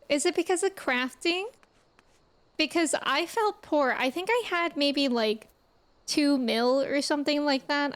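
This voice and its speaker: High-voiced woman chatting playfully on stream. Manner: playful, teasing. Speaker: game streamer. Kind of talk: casual livestream chat.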